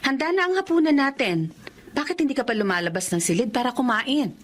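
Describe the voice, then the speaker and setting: A woman playing a caring, concerned middle-aged mother in a radio drama, solicitous and expressive. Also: sits high, brisk, a little hiss or hum.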